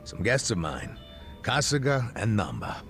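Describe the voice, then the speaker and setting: Gravelly, animated older ex-cop. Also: male voice, lowish voice, unhurried, faint music behind, heavily acted. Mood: gruff banter. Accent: American. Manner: colloquial, brash, expressive. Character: gruff, boisterous aging ex-cop, good-humored drinker. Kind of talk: dubbed video game cutscene acting.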